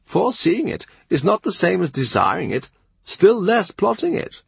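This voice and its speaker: A man's voice: high-pitched, big pitch swings, moderate pace, gravelly, husky, dry recording, voice pushed up, full character voice. A man voicing a frail, elderly male bishop who speaks mildly and sadly in his own defence. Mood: mild, earnest. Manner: mild, pleading, sad. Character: frail old male bishop, mild and stubborn. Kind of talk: audiobook character reading.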